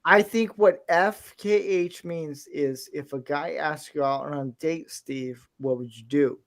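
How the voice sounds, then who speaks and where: A man from the American Midwest with an American accent, chatting casually and telling anecdotes in an animated way as a podcast host. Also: fairly high pitch, a little slow. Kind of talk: casual anecdotal group chat.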